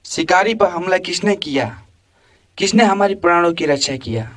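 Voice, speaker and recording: A man voicing a proud, boastful lion with a commanding manner in an animated moral story. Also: high voice, normal speed. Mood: bewildered. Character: proud boastful male lion, quick to anger.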